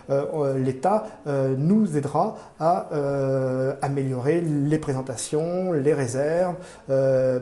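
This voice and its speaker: A man giving formal, measured explanations in an interview as a museum curator, often pausing mid-phrase as he searches for words. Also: fairly high pitch, dark tone. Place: indoor room in a museum. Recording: roomy, echoing indoor sound.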